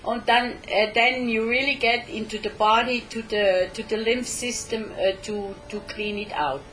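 Woman, interpreter, slow careful delivery, frequent hesitations, faint music behind. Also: on the high side, a bit bright, rough recording. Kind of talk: live interpreting between English and German.

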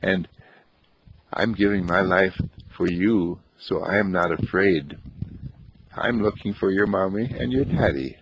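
A man voicing a gentle, brave boy in a wheelchair who talks in soft, soothing reassurance. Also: low-pitched, a little slow, long pauses, a little hiss or hum. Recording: dull lo-fi recording.

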